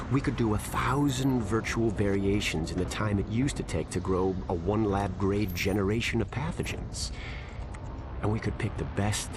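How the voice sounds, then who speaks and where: An actor playing a calm, fanatical scientist villain in a video game, musing in a condescending, self-satisfied monologue. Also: male voice, lowish voice, noisy background, close to an everyday voice. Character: smug, calm, fanatical male scientist villain justifying mass death. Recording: loud music under the voice.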